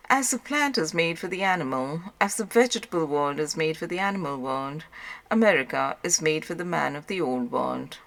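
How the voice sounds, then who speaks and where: A woman reading a literary audiobook as narrator, in a measured, formal way. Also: low-pitched, gravelly, fairly bright tone.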